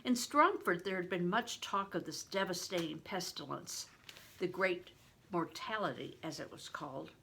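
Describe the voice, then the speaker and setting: An older woman reading a novel aloud in an expressive, deliberate storytelling manner, stressing words for drama. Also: lowish voice, creaky, slight background noise. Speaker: reader, retired reading teacher. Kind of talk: expressive audiobook-style read-aloud of a novel.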